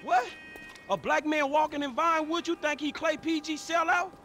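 A man acting in a video game cutscene as a skeptical streetwise character, blunt, irritated and quick to swear. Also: extremely high pitch, steady tone, fairly bright tone, faint music behind. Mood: indignant.

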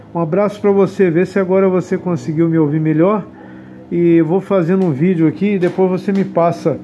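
A man's voice: high-pitched, dark tone, a little hiss or hum. A man, chatting casually and courteously as an amateur radio operator. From Brazil. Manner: friendly, courteous, repeating sign-offs. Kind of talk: casual radio contact talk.

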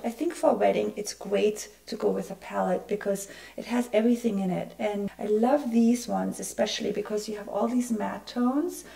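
Hoarse, gravelly woman losing her voice. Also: medium pitch, normal speed, few pauses, mellow, poor audio. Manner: chatty, friendly, explains as she works. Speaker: makeup artist. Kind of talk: makeup tutorial.

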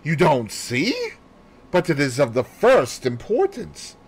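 A man voicing a fussy, excitable little Belgian detective in an audiobook, theatrical and precise, dropping in French phrases. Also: fairly high pitch, slow pace, breathy edge, voice pushed up, exaggerated voice. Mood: brisk, fussy. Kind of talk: audiobook character voice.

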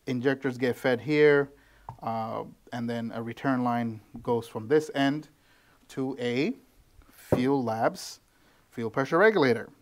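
Guy in his 30s, mechanic, chill how-to walkthrough, lots of ums, camera right up close, small office. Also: mid-range voice. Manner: loose and conversational, with frequent ums and restarts. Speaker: tuning shop mechanic. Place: small office with bare walls, indoors. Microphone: handheld camera close to face.

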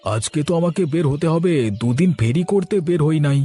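A man's voice: fairly high pitch, expressive, normal speed, warm tone, husky, faint music behind. A man voicing an ageing, affectionate, hard-working village husband in a cartoon story. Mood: affectionate, coaxing. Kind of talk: Bengali cartoon story acting.

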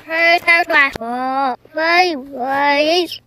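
High-pitched woman, very noisy recording. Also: extremely slow. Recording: loud noise, clicks throughout.

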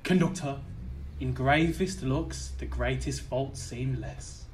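A man playing a lecherous old duke in a Jacobean verse audio drama, speaking with slow, theatrical relish. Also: medium pitch, slight background noise, lightly acted, poor audio. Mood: lecherous, savouring.

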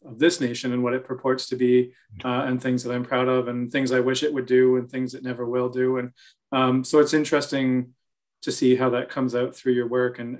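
A young man moderating an artist talk over a video call, asking questions in measured, searching phrasing. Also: medium pitch. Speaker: gallery talk host. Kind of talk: moderating an interview. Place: room with bookshelves.

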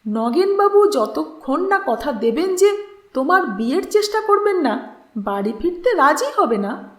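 A woman's voice: sits high, sing-song, a little slow, reverberant. A woman voicing a firm male mentor who admonishes and persuades, in a story reading. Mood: urging. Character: firm, reasoning older male mentor advising a young woman.

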